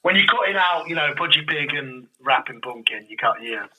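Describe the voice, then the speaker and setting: A man chatting casually as an interview guest, often halting and correcting himself. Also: a little high, lively intonation, pushed and bright, rough recording. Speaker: podcast guest, audio drama creator.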